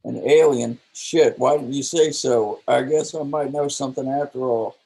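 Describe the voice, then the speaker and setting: Man acting a mellow, husky young small-time dealer. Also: mid-range voice, fairly even intonation, moderate pace, rough recording. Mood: curious. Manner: blunt, slangy, unimpressed. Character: Nettie, laid-back slangy young redneck drug dealer. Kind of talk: live script table read.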